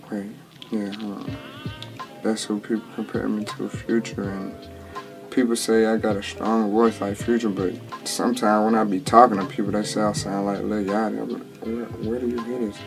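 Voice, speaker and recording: A man's voice: lowish voice, level tone, moderate pace, creaky. A twenty-year-old man, a rapper, answering interview questions in a laid-back, drawling way with lots of slang and restarts, in a small home studio. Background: music bed under the talk.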